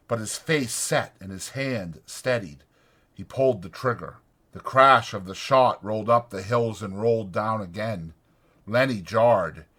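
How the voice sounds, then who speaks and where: A man narrating an audiobook dramatically, in a measured and deliberate way. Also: lowish voice, fairly bright tone.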